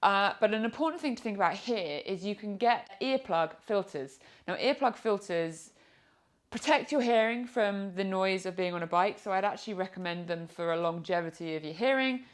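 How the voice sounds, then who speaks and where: A woman's voice: mid-range voice, lively intonation, moderate pace. A woman with a British accent giving an informal product review in a chatty, explanatory way. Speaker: product reviewer and motorcycle vlogger.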